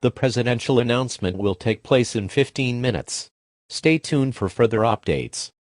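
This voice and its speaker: A man voicing a calm government emergency announcer reading alerts in a steady, formal way. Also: mid-range voice, normal speed, no room sound, studio quality, close to an everyday voice.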